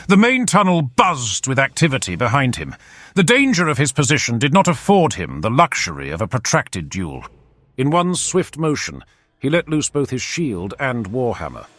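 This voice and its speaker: Animated male storyteller, dramatic delivery. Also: mid-range voice. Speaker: narrator reading fantasy fiction. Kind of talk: dramatic scripted audiobook narration.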